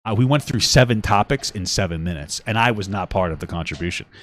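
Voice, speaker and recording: A young man hosts a casual podcast from a room at home, talking quickly with a lively, swooping delivery. His voice sits a little low but it jumps all over the place. He rambles, swears freely and mocks people by putting on silly high voices. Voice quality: smooth voice. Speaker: podcast co-host. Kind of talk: casual couple podcast chat.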